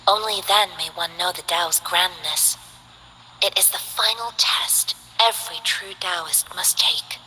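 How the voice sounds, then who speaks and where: A woman's voice: fairly low pitch, measured pace, slight background noise, rough recording. An actress playing a composed, earnest young female Taoist martial artist in a game. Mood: calm. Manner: composed, earnest, gently formal.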